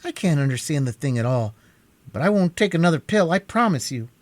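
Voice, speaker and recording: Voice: mid-range voice, expressive, no echo, voice pushed up, close to an everyday voice. A reader voicing a sulky, impatient young boy in a storybook. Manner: impatient, quick to complain.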